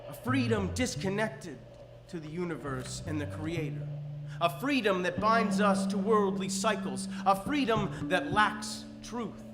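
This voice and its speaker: A man performs a calm, solemn revolutionary giving a spoken-word radio monologue in a story. His voice sits high for a man and moves in a very slow cadence. It rings with long hall reverb. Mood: calm, accusing. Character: calm male revolutionary speaking slowly over the radio. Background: instrumental music.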